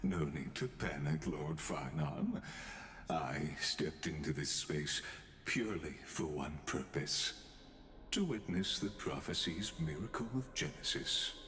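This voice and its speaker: Very deep, theatrical cryptic observer. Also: male voice, expressive, fairly bright tone, exaggerated voice. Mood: smooth, composed. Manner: grandiose, deliberate, dramatic oratory. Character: cryptic ancient male observer, theatrical, grandiloquent spectator of heroes. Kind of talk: scripted fantasy game voice acting. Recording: echoing voice over music.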